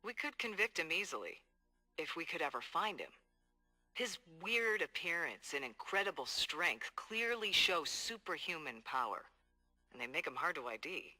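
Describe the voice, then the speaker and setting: Game detective: hard-boiled, earnest man, intensely crisp and tense delivery, music underneath. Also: fairly high pitch, sing-song, normal speed, sharp tone, full character voice, rough recording. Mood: analytical, concerned. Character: determined, hard-boiled male police detective dictating case logs. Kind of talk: video game audio log monologue.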